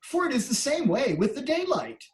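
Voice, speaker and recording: A woman playing a gentle, clever, playful court jester in a theatrical storybook reading. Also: high voice, very animated, moderate pace, roomy sound. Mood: gentle wonder.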